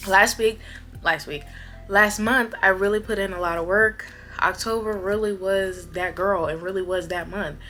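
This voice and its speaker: A young woman in her twenties talks straight to her viewers in a bright, clear voice. She is chatty and animated, often repeating words for emphasis. Soft music plays faintly underneath. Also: medium pitch, normal speed. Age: 20s. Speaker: vlogger. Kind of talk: casual chat to camera.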